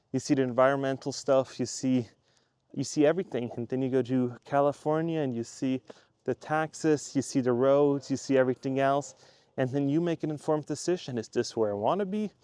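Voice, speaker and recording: A man's voice: mid-range voice, darkish tone, smooth, dry recording. A man from Switzerland who runs a YouTube channel, talking casually to the camera in a chatty, rambling way and repeating himself for emphasis. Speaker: YouTube creator.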